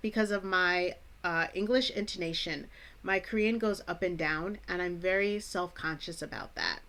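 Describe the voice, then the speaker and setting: An American woman from the Chicago suburbs who hosts an English-teaching vlog, speaking in a clear, deliberate teacher's manner with playful asides. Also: on the low side, a bit bright. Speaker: English teacher, channel host. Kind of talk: scripted educational vlog.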